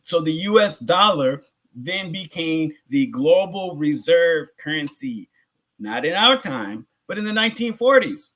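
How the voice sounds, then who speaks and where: A man's voice: on the high side, very animated, a little slow, a bit bright. A man giving a public educational lecture in a conversational way, asking rhetorical questions and repeating phrases for emphasis. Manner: conversational, informal address to audience. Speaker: community lecturer.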